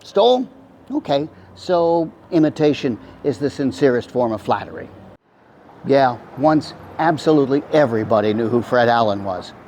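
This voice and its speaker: Animated older man presenting, lively pitch. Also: normal speed, a little hiss or hum. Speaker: host. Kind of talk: scripted, chatty presentation to camera.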